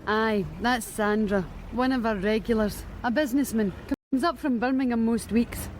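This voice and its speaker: An actress playing a blunt, streetwise young street worker with a Scottish accent in a radio drama, wry and matter-of-fact. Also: female voice, a little high, moderate pace, a little hiss or hum, natural voice. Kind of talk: BBC radio drama acting.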